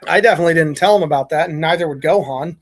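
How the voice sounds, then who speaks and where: A woman reading the part of a flustered, excitable, apologetic woman in a fan fiction story. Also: low-pitched, racing. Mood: flustered apology. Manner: flustered, gushing.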